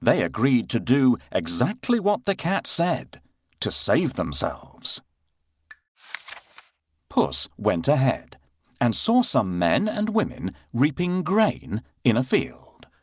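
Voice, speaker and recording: A man narrating a scripted children's bedtime fairy tale with expressive, dramatic delivery. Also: mid-range voice, slow pace, breathy edge, no room sound. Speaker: storyteller narrator.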